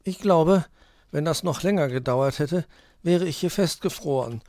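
Man voicing a whiny, self-important little animal boy, high-pitched. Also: lively intonation, normal speed, no echo, studio quality, close to an everyday voice. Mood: whining. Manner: pompous, sulky, loud. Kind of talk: children's story character voice.

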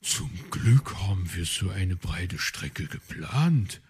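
A man's voice: deep voice, animated, slow, slightly rough, exaggerated voice. A man voicing a polite, fussy male forest host. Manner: polite, stammering when flustered.

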